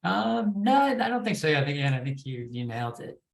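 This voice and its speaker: Halting man in a roomy, echoey space. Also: a little high, very animated, creaky. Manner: hesitant, halting, repeats words. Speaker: podcast development executive on a panel. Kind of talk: unscripted panel discussion. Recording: roomy, echoing sound.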